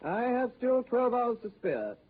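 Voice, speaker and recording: Precise gentleman adventurer, composed, formal, high-pitched, tinny 1930s radio drama sound. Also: sing-song, measured pace, exaggerated voice. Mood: coolly resolute. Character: unflappable, precise gentleman traveller, quietly resolute. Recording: tinny old radio broadcast, faint music.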